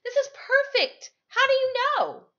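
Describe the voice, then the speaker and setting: A woman's voice: extremely high pitch, fairly even intonation, a little slow, sharp tone, highs cut off, voice pushed up, heavily acted. A woman voicing an eager, grateful schoolgirl in short, earnest phrases for a storybook reading. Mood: grateful, amazed. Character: eager, grateful schoolgirl contestant using a talking device.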